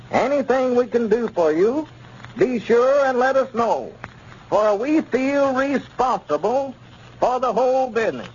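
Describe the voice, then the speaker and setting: A muffled 1930s radio recording has a formal, pressing lawyer. His voice is high and husky, and he questions deliberately, in period radio-drama style. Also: full character voice. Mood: deliberate reading. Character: formal, pressing small-town plaintiff's lawyer. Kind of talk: 1930s radio comedy acting.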